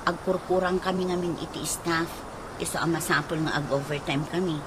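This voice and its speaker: A woman's voice: fairly low pitch, fairly even intonation, fairly quick, background noise, lightly acted. A woman playing a polite, concerned young nurse in a radio drama. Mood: chatty, sighing.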